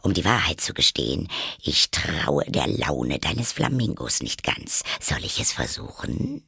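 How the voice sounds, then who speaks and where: A self-satisfied old duchess, as a male storybook reader puts her on, forever drawing morals. Her voice sinks deep and gravelly into husky whispers while the pitch still swoops about. The sound is close and dry. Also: big pitch swings, measured pace, bright, forceful tone, dry recording, full character voice. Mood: smug.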